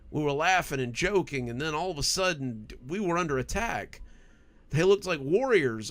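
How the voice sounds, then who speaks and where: A man voicing a frightened, shaken teenage boy who talks haltingly, in a horror story. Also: on the high side, fairly bright tone, voice pushed up.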